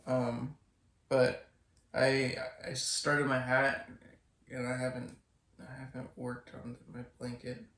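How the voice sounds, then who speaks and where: Young guy, slow halting talk w lots of restarts, echoey room. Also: medium pitch, little pitch movement, creaky, fairly bright tone. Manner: hesitant, many restarts and fillers. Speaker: podcast co-host. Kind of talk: casual chat.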